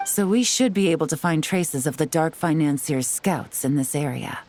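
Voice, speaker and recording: A woman voicing a cool, confident female spy in a game, smooth and teasing as she lays out her plans. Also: deep voice, normal speed, slight background noise. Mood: explaining. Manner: smooth, teasing, self-assured. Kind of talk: video game voice acting. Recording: game audio with soft music behind.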